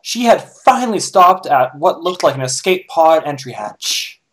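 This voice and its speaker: Higher-pitched man reading fan fiction. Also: very animated, measured pace, fairly bright tone, soft background music. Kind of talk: casual group dramatic reading, joking asides.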